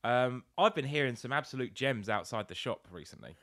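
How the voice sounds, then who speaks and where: A British man co-hosting a podcast, bantering casually with swearing and a giggly, excitable way of talking. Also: mid-range voice, fairly quick, no room sound, studio quality. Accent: British English. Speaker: podcast co-host.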